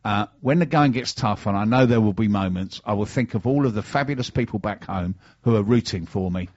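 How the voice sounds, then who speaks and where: A man's voice: on the low side, fairly quick, darkish tone. A middle-aged man with a British accent, hosting a radio show with casual chat and sponsor messages. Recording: dull, band-limited sound.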